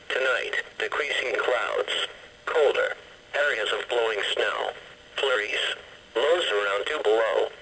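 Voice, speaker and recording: A man's voice: medium pitch, sharp tone. A man reading a scripted weather bulletin as a radio announcer, in a formal, stilted automated delivery. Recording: noisy weather radio broadcast.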